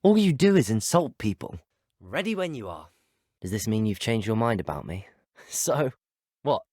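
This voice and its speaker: Casting: young British student wizard hero for a game; earnest, polite, articulate, low-leaning pitch, animated delivery. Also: male voice, normal speed, no echo, clean studio sound. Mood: defiant. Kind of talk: video game character dialogue.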